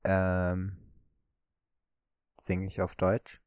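A man co-hosting a podcast, chatting casually through a guessing game and often pausing on drawn-out fillers. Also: sits low, monotone, a little mellow, a little hiss or hum. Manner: hesitant, many drawn-out fillers. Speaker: podcast co-host.

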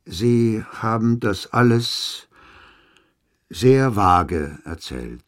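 A man's voice: fairly low pitch, lively intonation, extremely slow. A man acting in a German radio drama as a terse, sceptical investigator questioning a witness with a dry manner. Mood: impatient. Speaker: actor in a radio play.

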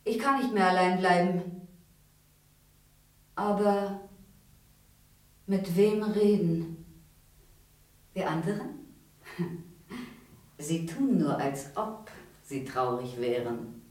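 An actress playing a grieving, bitter grand duchess in a German radio play, halting and often sinking into whispers. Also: female voice, on the low side, darkish tone, poor audio. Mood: desolate. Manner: halting, breathy delivery dropping into whispers. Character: grieving widowed Russian grand duchess, pleading, bitter, devout.

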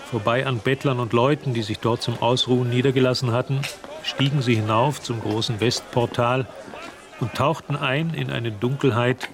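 Male actor narrator for a radio play, mid voice, measured, literary, composed storytelling. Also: mid-range voice, natural voice.

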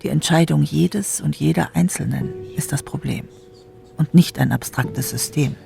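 A man with a strongly gravelly, dark, mellow voice argues his point in a calm and analytical way. Soft music plays faintly underneath. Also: on the high side, moderate pace.

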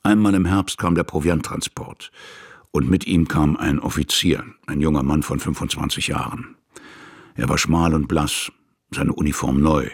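An actor playing a gruff, scornful staff captain of about fifty in a radio play, talking bluntly. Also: male voice, rumbling low, fairly even intonation, moderate pace, full character voice. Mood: reminiscing. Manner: blunt, colloquial storytelling. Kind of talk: German radio play acting.

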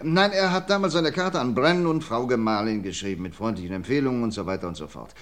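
A shrewd, unhurried detective, voiced by a man in a vintage radio crime drama. His voice sits at a middle pitch, and his speech is dry and deliberate. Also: expressive, fairly bright tone, close to an everyday voice. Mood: calm. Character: calm, shrewd male detective named Derek.

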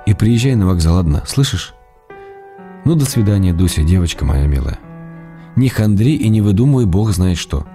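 An actor playing an ailing middle-aged writer in a radio drama, reading his love letters in an intimate, measured, wry way. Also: low voice, lively intonation, dark tone, soft background music. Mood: tender, weary.

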